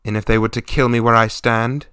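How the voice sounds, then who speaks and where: A man voicing a level-headed young woman, a dutiful daughter who speaks calmly but uneasily. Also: mid-range voice, fairly quick, clean tone, dry recording, clean studio sound, close to an everyday voice. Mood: uneasy. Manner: calm but uneasy, questioning.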